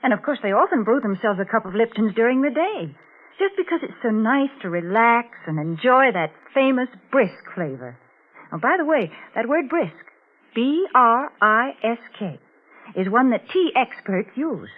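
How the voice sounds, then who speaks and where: A woman's voice: a little high, sing-song, normal speed. A woman doing 1940s radio advertising as a sponsor's spokeswoman, prim and emphatic. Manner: prim, scolding, emphatic salesmanship. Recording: tinny, band-limited 1940s radio recording.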